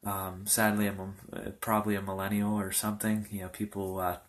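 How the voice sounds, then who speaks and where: A young man in his early twenties speaks as a pastor sharing his testimony. His voice sits slightly low. He talks informally and haltingly, wandering loosely from phrase to phrase. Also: completely flat delivery. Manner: halting, loosely rambling delivery. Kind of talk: informal testimony talk to camera.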